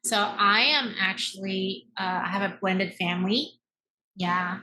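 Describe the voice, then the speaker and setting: A woman's voice: mid-range voice, unhurried, sharp tone, a little hiss or hum, rough recording. A woman of thirty-nine, raised in Taiwan, telling her personal story earnestly and emphatically as an interview guest. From born in America, raised in Taiwan. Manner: earnest, emphatic, uses rhetorical tags like "right?". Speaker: podcast guest, mindset coach.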